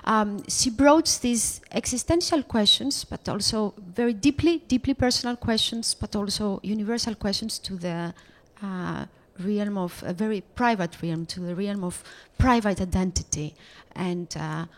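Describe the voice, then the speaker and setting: Halting woman host at an exhibition. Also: mid-range voice. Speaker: host introducing an artist. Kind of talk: spoken introduction at an exhibition. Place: exhibition room.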